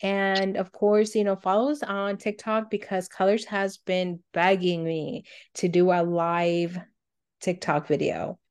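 A woman's voice: mid-range voice, little pitch movement, unhurried, a little mellow, smooth. A woman co-hosting a podcast in casual conversation, speaking informally and thinking aloud as she goes. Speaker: podcast co-host.

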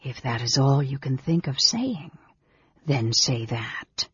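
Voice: medium pitch, lively intonation, slow, sharp tone, muffled recording, slight background noise. A performer voicing a frail, sickly young male mage in a weak whisper, sneering, formal and commanding, for a fantasy story. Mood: impatient, commanding.

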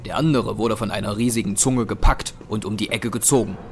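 A man narrating a scripted audio drama in a measured, serious storytelling manner. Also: lowish voice, fairly even intonation. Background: music.

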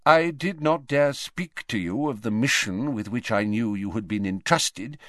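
Brief: old wizard headmaster read by a man, soft and calm, light conversational tone with quiet mumbles, storybook reading. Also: medium pitch, a little slow, no echo, clean studio sound. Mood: gentle, pleading. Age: older.

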